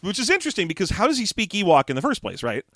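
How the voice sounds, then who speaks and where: A man co-hosting a podcast, chatting casually about a book, joking and laughing often and dropping into quick impressions. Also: sits high, wildly swooping pitch, a bit bright, no room sound, clean studio sound. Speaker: podcast co-host. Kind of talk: casual chat discussing a book.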